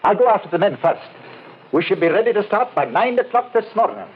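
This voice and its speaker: Animated pious foreman, old radio. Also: high voice, fairly quick, loud music behind the voice. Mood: brisk. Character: pious, brisk, practical male foreman, stern believer in scripture. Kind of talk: old-time radio drama acting. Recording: dull, faintly noisy vintage radio recording.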